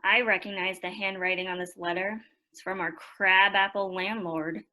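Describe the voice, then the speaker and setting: Radio play daughter and narrator, actress: earnest teen girl, medium-pitched voice. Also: steady tone, moderate pace, bright, forceful tone, close to an everyday voice. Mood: friendly. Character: earnest teenage daughter who narrates the family story.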